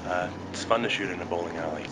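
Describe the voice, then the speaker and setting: A man's voice: low voice, fast, very noisy. A man in his forties vlogging casually at a film set in a bowling alley, talking upbeat and chatty into a handheld camera. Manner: chatty, upbeat, fond of "pretty cool". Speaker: vlogger filming behind the scenes. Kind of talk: casual handheld vlog narration. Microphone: camera held at arm's length.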